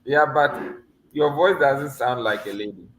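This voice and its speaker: A man's voice: medium pitch, a little slow, a bit bright, a little hiss or hum. A man coaching a student for a mock visa interview over a video call, teasing and laughing often but encouraging. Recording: echoey room with faint ambience.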